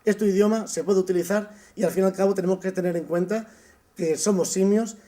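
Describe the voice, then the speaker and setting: A man from Spain giving a summer course lecture, thoughtful, often pausing with "eh" and restarting his sentences. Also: sits high, steady tone, mellow. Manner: thoughtful, with frequent "eh" pauses and restarts. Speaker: university lecturer.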